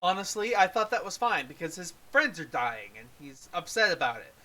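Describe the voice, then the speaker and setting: A casual co-host with a medium-pitched voice, chatting through a review. The delivery is halting, with thoughts reworked along the way. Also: sing-song, bright, forceful tone. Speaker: podcast co-host reviewing animated films.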